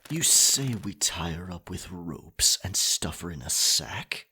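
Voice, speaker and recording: A man playing a dominant, sly pirate captain in a roleplay ASMR, with a raspy voice and slow, deliberate theatrical delivery. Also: low-pitched, lively intonation, sharp tone, slight background noise, exaggerated voice. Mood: sly, conspiring. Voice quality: raspy, gravelly.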